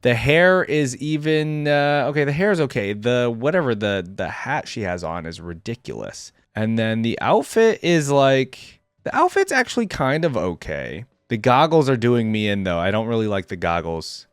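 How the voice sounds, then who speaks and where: A man's voice: mid-range voice, big pitch swings, normal speed, few pauses, clear, resonant tone, dry recording, clean studio sound. A man hosting a reaction video, giving casual, slangy gaming commentary as he thinks aloud.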